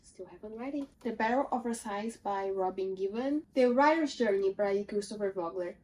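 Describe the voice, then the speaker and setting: A woman's voice: medium pitch, a little fast, darkish tone. Chatty young woman. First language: not English. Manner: chatty, listing titles, self-deprecating asides. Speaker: book vlogger. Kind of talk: casual book haul chat.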